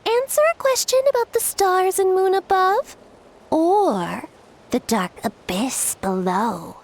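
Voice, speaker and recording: A woman's voice: very high-pitched, expressive, takes it slowly, full character voice. A woman voicing a smug, scheming little fungus who speaks with scorn. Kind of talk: video game voice acting.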